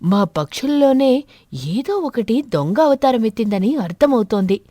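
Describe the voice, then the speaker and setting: A woman voicing an earnest, puzzled crow farmer in an animated fable, troubled and questioning. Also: medium pitch, no echo, clean studio sound, lightly acted. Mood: brooding.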